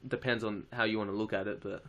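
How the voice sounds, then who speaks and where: A man's voice: on the low side, flat delivery, quick pace, fairly bright tone, muffled recording. A young Australian man with an Australian accent, chatting casually on a live stream, answering viewer questions in a laid-back, slangy way with casual swearing.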